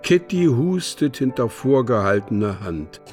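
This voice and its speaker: A man narrating a literary radio play from a script in a measured, precisely enunciated way. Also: medium pitch, very animated, a little mellow. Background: music.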